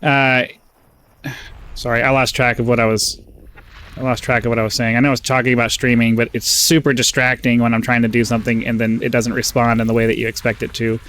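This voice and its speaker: A man's voice: mid-range voice, level tone, fast, fairly bright tone. A man with a somewhat nasal voice, casually rambling through gameplay commentary and getting sidetracked, full of fillers. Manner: rambling, easily distracted, frequent fillers. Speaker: gaming channel host.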